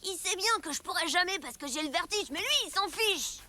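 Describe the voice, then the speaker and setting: A woman's voice: extremely high pitch, expressive, fairly quick, slight background noise, heavily acted. A woman voicing a timid but eager young prehistoric boy in a French cartoon, childlike and informal. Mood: glum. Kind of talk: French cartoon dub acting. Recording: loud music behind.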